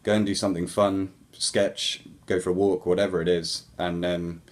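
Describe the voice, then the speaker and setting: Low-voiced man chatting about music. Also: normal speed. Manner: relaxed, rambling, many ums and restarts. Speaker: musician answering viewer questions. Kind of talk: casual Q&A chat.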